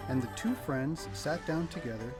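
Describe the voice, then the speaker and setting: A woman with a husky voice narrating a children's storybook aloud in an animated read-aloud style. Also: low-pitched, moderate pace, music in the background.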